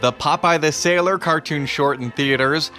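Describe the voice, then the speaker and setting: A man's voice: a little high, moderate pace, over music. A man hosting a podcast with scripted, explanatory narration. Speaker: podcast host.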